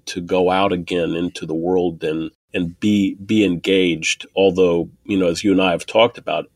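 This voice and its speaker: A man's voice: deep voice, dry recording. A middle-aged man answering interview questions about technology in a thoughtful way, often restarting and repeating words. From born in Taiwan, raised in an Air Force family. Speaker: guest, technology executive.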